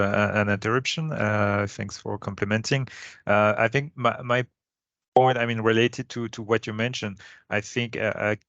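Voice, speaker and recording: Gravelly low-voiced man, hesitant. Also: fairly bright tone, no echo. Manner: hesitant, many restarts and fillers. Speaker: panel moderator. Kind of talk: panel discussion.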